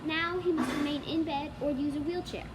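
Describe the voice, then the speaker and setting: A woman's voice: high voice, steady tone, fairly quick. A female student reading a children's storybook aloud, with noise behind the voice. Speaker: student reader. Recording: clearly noisy background.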